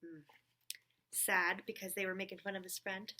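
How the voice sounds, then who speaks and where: A woman's voice: mid-range voice, flat delivery, normal speed, noisy background. A woman hosting a children's story time, reading a picture book aloud and expressively asking her listeners questions.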